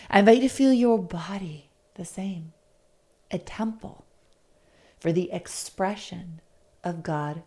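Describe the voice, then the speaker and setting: A woman leading a guided meditation in a soothing, deliberate, gently inviting manner. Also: lowish voice. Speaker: guest meditation facilitator.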